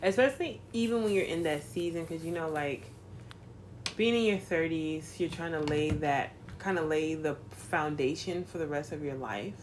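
Lowish-voiced woman in her 30s, teasing, slangy, light hiss. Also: moderate pace. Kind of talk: casual chat.

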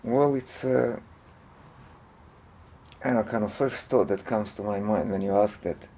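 A man's voice: lowish voice, a little slow, dark tone, a little hiss or hum. A man from Croatia, speaking English as an in a hesitant interview, full of fillers and restarts. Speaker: interview guest, monk. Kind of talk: casual interview talk.

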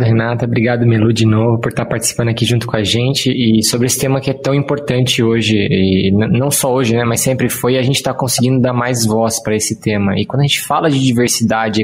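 A man's voice: medium pitch, steady tone, fairly quick, hardly any pauses, a little hiss or hum. A man with a Brazilian accent hosting and moderating a panel conversation, presenting points and putting questions to guests. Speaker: host. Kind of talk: podcast-style panel moderation.

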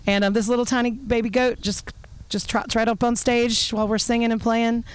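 A woman's voice: fairly high pitch, flat delivery, fairly quick, clear, resonant tone. A woman, a guest singer-songwriter chatting casually and telling anecdotes. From North Carolina, United States.

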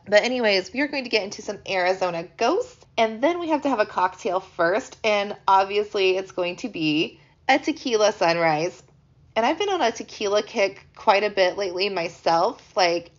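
Woman podcast host in her thirties, hoarse from a cold, chatty and upbeat, mid pitch, slightly muffled home recording. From American Midwest. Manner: chatty, animated, laughs easily. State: hoarse from a sinus infection. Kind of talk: casual chat.

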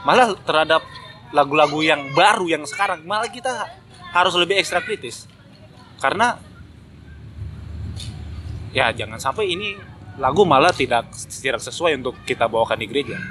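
A man's voice: on the high side, expressive, normal speed, pauses often. A man in a casual, opinionated discussion, speaking emphatically and critically and repeating phrases to drive points home. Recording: noticeable background noise.

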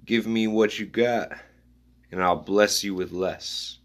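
A man musing aloud in a rambling spoken-word style, reading his own notes in halting fragments. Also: lowish voice, steady tone, a bit bright.

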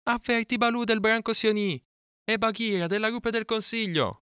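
A man's voice: steady tone, normal speed, clean tone, dry recording, studio quality. A man voicing a young jungle boy who cries out urgently for help in a storybook reading. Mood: urgent, shrill. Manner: shrill, urgent shouting.

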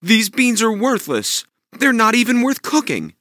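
A man doing a shrill scolding mother. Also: wildly swooping pitch, measured pace, bright, forceful tone, no echo, studio quality, heavily acted. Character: Jack's mother, an exasperated woman.

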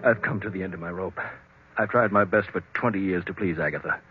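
A man's voice: low-pitched, fast, soft background music, natural voice. A man playing a defeated, henpecked middle-aged husband in old radio drama, meek, weary and hesitant. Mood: despairing. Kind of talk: 1940s radio drama acting. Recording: very muffled, fuzzy vintage radio recording.

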